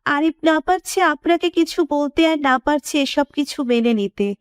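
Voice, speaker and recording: A woman's voice: high voice, normal speed, no room sound, clean studio sound. A woman reading scripted narration for a romantic story as its narrator.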